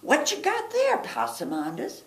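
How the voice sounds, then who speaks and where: A nosy, doubting critter from a folksy storybook, done by a woman reader, drawls slowly with wide swoops in pitch. The sound is roomy and a bit far off. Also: wildly swooping pitch, heavily acted. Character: nosy, skeptical folksy animals: alligator, raccoon, nutria, armadillo. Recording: roomy, distant mic, faint noise.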